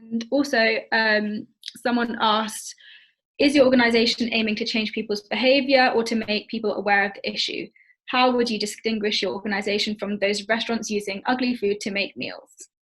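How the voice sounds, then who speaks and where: Young woman, relaxed and chatty, reads out questions on a video call. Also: on the high side, normal speed, low-quality recording. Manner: casual, uses "like" and "um". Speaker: Q&A moderator.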